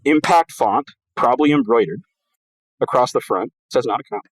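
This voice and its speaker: A man on a casual podcast who cracks jokes in a mock-formal tone, then swears freely. His pitch sits in the middle for a man. The sound is dry and close, with no echo. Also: lively intonation, moderate pace, husky. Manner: mock-formal, wisecracking, profane. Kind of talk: casual chat.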